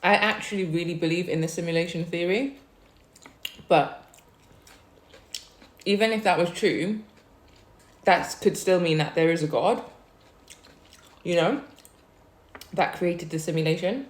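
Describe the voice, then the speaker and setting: A woman's voice: lowish voice, measured pace, pauses often. Chatty young woman, echoey room. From Wales. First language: Chinese. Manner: chatty, savouring food with soft hums between remarks. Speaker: food vlogger. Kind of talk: casual eating vlog Q&A.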